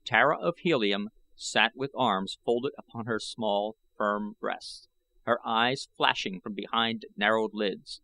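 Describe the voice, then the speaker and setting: A man's voice: on the low side, takes it slowly, pushed and bright, no room sound, low-quality recording. A man narrating an adventure novel as a dramatic scripted audiobook reading.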